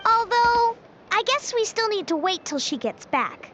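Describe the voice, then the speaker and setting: A woman's voice: very high voice, very animated, a little slow, dull audio, background noise, heavily acted. A woman voicing a small, chirpy, encouraging companion sprite in a video game. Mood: reassuring. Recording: game dialogue with music behind, dulled. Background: game music.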